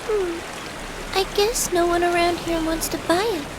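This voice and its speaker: A woman voicing a gentle, downhearted young girl in a video game, speaking softly and politely. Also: extremely high pitch, steady tone, a little slow, noisy background. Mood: dejected. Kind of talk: video game cutscene voice acting. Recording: music behind the voice.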